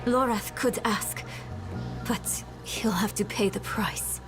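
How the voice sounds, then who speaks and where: A woman's voice: mid-range voice, over music, close to an everyday voice. A woman playing a sombre companion in a fantasy game, with a foreboding manner. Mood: grave, worried. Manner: slow, foreboding. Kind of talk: cinematic fantasy game acting.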